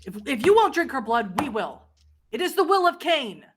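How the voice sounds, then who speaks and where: A woman's voice: high voice, very animated, normal speed, noisy background, voice pushed up. A woman voicing a mob of scrawny, fanatical vampires in a tabletop game, urgently commanding and hungry for blood. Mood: fanatical demanding.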